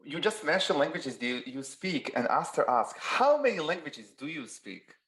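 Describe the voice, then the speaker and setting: A man's voice: a little high, sing-song, moderate pace, fairly bright tone, low-quality recording. A man moderating an event, relaying audience questions and keeping the session moving.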